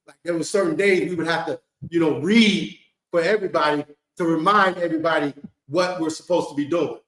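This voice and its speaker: A man's voice: on the high side, steady tone, fairly quick, a bit bright. A man leading a holy day gathering, talking in a loose, conversational way and often checking in with "okay?" and "you know what I mean?". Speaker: congregation leader. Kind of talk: informal sermon to a congregation. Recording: echoey hall.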